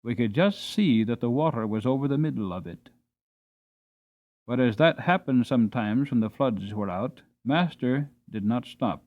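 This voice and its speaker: A man narrating a storybook dramatically, expressive and varying his pacing, on a slightly dull recording. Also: medium pitch, normal speed. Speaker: audiobook narrator. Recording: slightly dull, band-limited audio.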